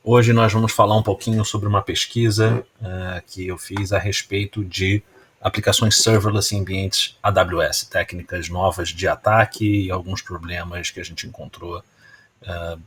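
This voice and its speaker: A man's voice: low-pitched, flat delivery, moderate pace, a bit bright, slight background noise. A man with a Carioca accent from Rio de Janeiro, presenting security research at a conference in an explanatory manner, often checking in with his listeners. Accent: Carioca Brazilian Portuguese. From Rio de Janeiro, Brazil. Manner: explanatory, often tags lines with "né?" and "tá?". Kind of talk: technical conference talk.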